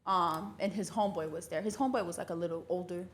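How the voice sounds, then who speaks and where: A woman's voice: lowish voice, fast talker. A young woman from Oregon co-hosting a podcast, telling a story in a laid-back, casual way and swearing freely. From Oregon, USA. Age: young adult. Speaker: podcast co-host.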